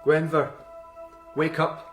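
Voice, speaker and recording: Cold young schemer, echoey room. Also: male voice, fairly high pitch, little pitch movement, takes it slowly, soft background music. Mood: coldly controlling. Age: young adult. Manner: smooth, deferential, quietly menacing. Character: cold, scheming young male courtier, quietly menacing. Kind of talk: radio drama acting. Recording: roomy echo, clear background noise.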